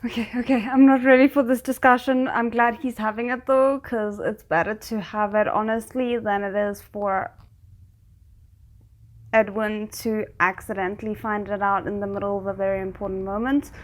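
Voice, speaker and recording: A woman hosting a reaction video, giving casual, animated commentary and reacting aloud as she watches a series. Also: medium pitch, moderate pace.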